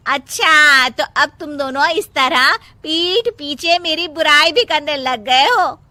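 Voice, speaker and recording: A woman's voice: sits high, slow pace, sharp tone, no echo, pitched above the performer's own voice, full character voice. A woman voicing a melodramatic, scolding older mother-in-law in a cartoon moral story. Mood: accusing. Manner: scolding, dramatic wailing and complaining. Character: nagging, melodramatic older mother-in-law obsessed with having a grandson.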